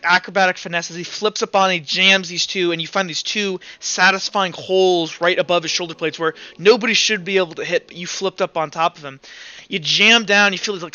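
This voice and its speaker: A man's voice: high voice, sharp tone. A man acting as game master in an online roleplay session, narrating vividly and checking rules in an informal way. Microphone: online voice call. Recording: dull online voice-chat audio with music behind. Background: music.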